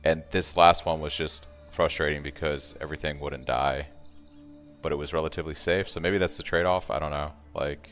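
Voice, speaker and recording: A man's voice: rumbling low, steady tone, moderate pace, a bit bright. A man streaming a game, talking casually and thinking aloud as he answers chat, with a soothing voice. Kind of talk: casual live-stream commentary. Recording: long reverb, faint music behind.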